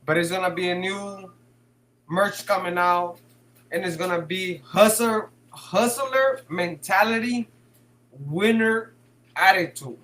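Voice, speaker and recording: A man's voice: high voice, takes it slowly, fairly bright tone. A man hosting a live stream, casually reading chat comments, slangy and swearing, laughing often. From San Francisco Bay Area.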